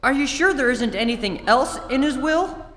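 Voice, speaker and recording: A woman's voice: high voice, expressive, normal speed, a bit bright. A woman playing an anxious, earnest teenage boy sidekick in old-time radio drama style. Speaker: amateur theatre actor. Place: theatre stage, live performance. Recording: echoey hall, long reverb.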